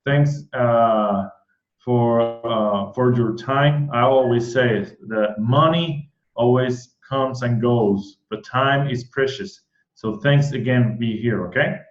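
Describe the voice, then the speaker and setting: A man from Puerto Rico with a Puerto Rican Spanish accent, Spanish his first language, hosting an online event in an eager, excited way, often repeating words and restarting. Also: medium pitch, slow, roomy sound, muffled recording. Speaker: host.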